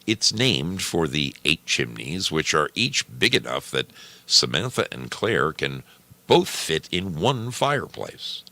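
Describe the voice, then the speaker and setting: A man reading a story aloud in a deliberate, measured manner, as scripted narration. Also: rumbling low, sing-song, sharp tone, no room sound. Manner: slow, deliberate, measured delivery.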